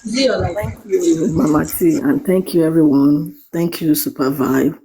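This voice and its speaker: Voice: mid-range voice, slow, a crowd in the background, low-quality recording. A speaker formally greeting and thanking people in an online audio chat. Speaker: chat participant. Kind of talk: casual group audio chat.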